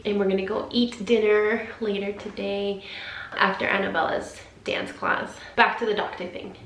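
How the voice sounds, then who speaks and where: A woman's voice: mid-range voice, fairly bright tone. A woman in her thirties talking candidly and emotionally in a personal vlog, rambling a little as she shares her feelings. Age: 30s. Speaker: vlogger. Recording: roomy sound with faint noise.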